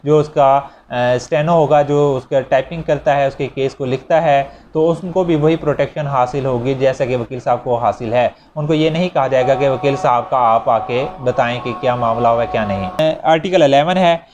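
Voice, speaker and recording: A man's voice: mid-range voice, moderate pace, few pauses, darkish tone, lo-fi sound. A man with a Pakistani accent gives an explanatory law lecture, reading out clauses and then repeating and rephrasing them in a didactic way. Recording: clearly noisy recording with ambient sound.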